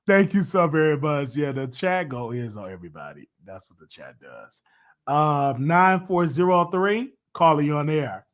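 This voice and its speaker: A man hosting a casual live call-in show, chatty and animated, his voice worn from talking. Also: moderate pace. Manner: animated, pitch jumps high when excited. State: losing his voice. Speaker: host.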